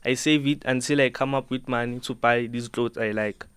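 A man's voice: medium pitch, steady tone, moderate pace, fairly bright tone, smooth, no echo. An eighteen-year-old man, a dancer, answering politely and earnestly in a radio studio, often saying ma'am. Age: teens. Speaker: dancer and fashion subculture member.

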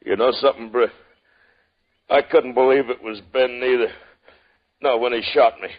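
An actor playing a wounded frontier man shot in the shoulder, with halting, drawling western speech, in a 1950s radio drama. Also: medium pitch, steady tone, natural voice. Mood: pained. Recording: dull old radio broadcast.

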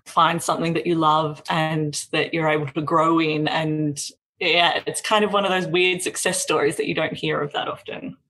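An Australian woman in her thirties, a theatre director, talking casually and quickly in an interview with chatty asides. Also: low-pitched, flat delivery, fairly bright tone. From regional New South Wales, Australia.

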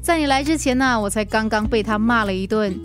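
An actress playing an easygoing, experienced delivery rider with a Singaporean accent, chatty and reassuring in a radio drama. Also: female voice, fairly high pitch, a little fast, soft background music, lightly acted. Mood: friendly, chatty.

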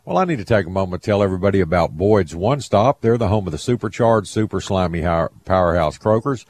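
A man hosting a fishing radio show, chatting in a relaxed, folksy way and reading ads. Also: low voice, quick pace. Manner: laid-back, folksy, laughs easily. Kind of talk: casual radio chat and read ads.

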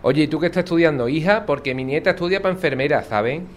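A man's voice: fairly high pitch, animated, fast, lightly acted. A man playing a kindly, curious old grandmother with a Sevillian accent, chatty and affectionate, in an audio drama. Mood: amazed, friendly. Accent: Sevillian Andalusian. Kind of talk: naturalistic audio drama dialogue.

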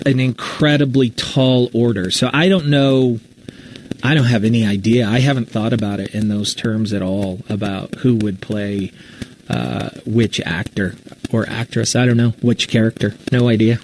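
A man's voice: mid-range voice, darkish tone, slight background noise, low-quality recording. A man talking casually and candidly about his own work in a live Q&A, with a self-deprecating manner. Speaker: radio talk show host and podcast storyteller.

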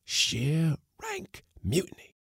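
A man's voice: a little high, wildly swooping pitch, slow pace, creaky, fairly bright tone, husky, no echo, clean studio sound, voice pushed up, exaggerated voice. A man voicing an indignant, grumbling ship's mate in a storybook. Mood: horrified. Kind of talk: storybook character voice.

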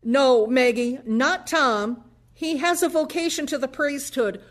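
A woman playing a devout, defensive elderly mother in an audio play, gentle and pleading. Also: high voice, a little slow.

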